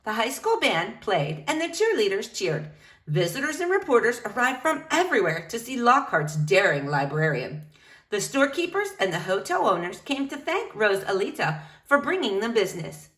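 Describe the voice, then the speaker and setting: A woman reading a picture book aloud to young children in an expressive, animated way. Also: medium pitch, moderate pace. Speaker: storybook reader.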